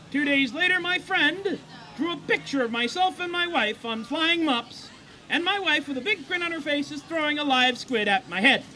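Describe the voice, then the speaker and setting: A man's voice: very high voice, bright, forceful tone, a little hiss or hum. A man, a comedy songwriter, doing rambling, self-deprecating banter between songs at a live show. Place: live stage show before an audience. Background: guitar playing.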